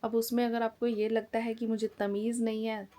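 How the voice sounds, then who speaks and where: A woman's voice: fairly high pitch, flat delivery, moderate pace, dark tone. Frank, chatty woman in her forties. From India. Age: 40s. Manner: frank, chatty, talks plainly and openly. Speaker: family vlogger. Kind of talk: casual chat to camera. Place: at home.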